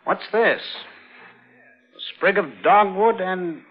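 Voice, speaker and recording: A man's voice: high-pitched, slow, a little hiss or hum. An actor playing a stern but uneasy lawman in 1940s radio style, insistent and earnest. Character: stern male lawman confronting a killer, uneasy underneath. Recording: dull vintage radio recording.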